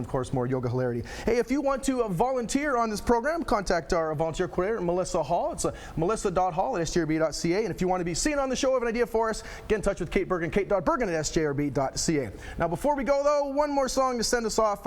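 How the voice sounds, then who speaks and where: A man hosting a TV show in a casual, unscripted, chatty and jokey way. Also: high voice. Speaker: TV show host.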